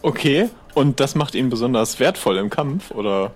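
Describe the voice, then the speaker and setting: A man's voice: medium pitch, expressive, moderate pace, lightly acted. A man playing an easygoing pirate trader in an improvised audio adventure, talking in a casual, persuasive, conversational way. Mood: bargaining.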